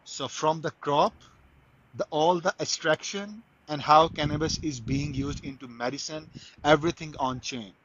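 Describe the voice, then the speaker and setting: A man's voice: fairly high pitch, normal speed, a bit bright, muffled recording, a little hiss or hum. A man from Pakistan, a guest in a casual interview, talking eagerly with many restarts and stumbles. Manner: frequent restarts and stumbles, enthusiastic. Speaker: guest, crypto marketer.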